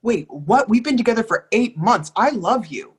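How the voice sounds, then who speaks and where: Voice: mid-range voice, animated, normal speed, breathy edge. An actor as an imploring, lovestruck young partner. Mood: bewildered. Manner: emphatic, imploring. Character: lovestruck, pleading young partner, hurt and insistent. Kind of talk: readers theatre dialogue reading.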